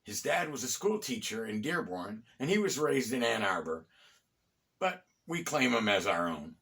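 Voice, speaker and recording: Middle aged american guy doing storyteller style history narration, medium pitch, chatty, dry little asides. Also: very animated, normal speed, gravelly, a bit bright. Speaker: host and storyteller of a local history series.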